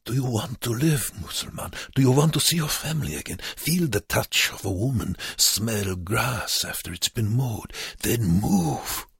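Guy acting a bitter prisoner in an audiobook, husky, goading and urgent, dry close sound. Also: medium pitch, lively intonation, measured pace, hardly any pauses, no room sound, pitched above the performer's own voice, exaggerated voice. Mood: urgent, harsh. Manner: taunting, urgent, sometimes whispered. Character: bitter, goading male concentration camp prisoner. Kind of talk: audiobook character voice.

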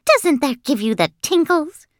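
Woman acting a spoiled little rich girl, high and swooping, gushing, boastful, drawn-out words, children's storybook. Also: very animated, no room sound, studio quality. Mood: excited, coaxing. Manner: showy, drawn-out, gushing delivery. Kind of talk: children's audiobook character voice.

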